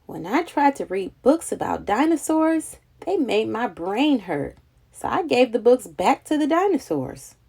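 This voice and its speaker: High-voiced woman reading a children's storybook. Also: big pitch swings, measured pace, creaky, darkish tone, breathy edge. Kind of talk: expressive read-aloud of a children's picture book.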